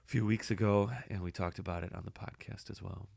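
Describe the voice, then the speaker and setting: A man with a hoarse voice, casually talking through his editing work as a podcast host, thinking aloud with fillers. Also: low-pitched, fairly even intonation, quick pace, darkish tone, dry recording. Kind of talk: casual screen-recording commentary.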